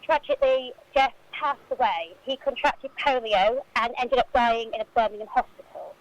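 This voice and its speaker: A woman's voice: fairly high pitch, moderate pace, dry recording, low-quality recording. A woman speaking as a football club spokesperson, giving answers in a radio interview.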